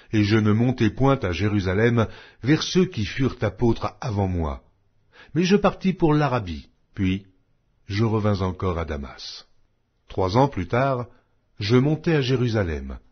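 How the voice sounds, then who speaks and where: Male scripture reader, low husky voice, solemn measured delivery, slightly muffled audio. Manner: measured, solemn reading with wide pitch swings. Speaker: Bible reader.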